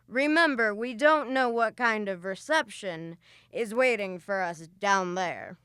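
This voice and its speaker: A woman voices a stern spaceship captain in an old-style radio drama, barking clipped commands. The voice is bright, crisp and fairly high. It is unhurried and recorded dry and close. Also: no room sound, natural voice. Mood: commanding. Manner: clipped, authoritative orders. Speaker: student radio drama actor.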